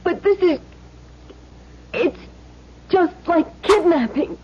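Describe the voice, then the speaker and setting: Frightened high-voiced mother, radio thriller. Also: lively intonation, slow, exaggerated voice. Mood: frightened, hesitant. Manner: hesitant, nervous. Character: frightened, cornered wife and mother, anxious to placate. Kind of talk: old-time radio thriller acting. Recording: dull vintage radio recording.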